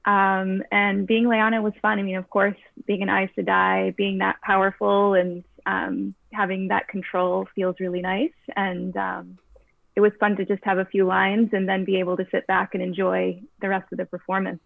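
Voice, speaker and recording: Creaky, even-toned woman on thin call audio. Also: mid-range voice, normal speed, no room sound, phone-quality sound. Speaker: guest fan performer. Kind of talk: casual reflective chat.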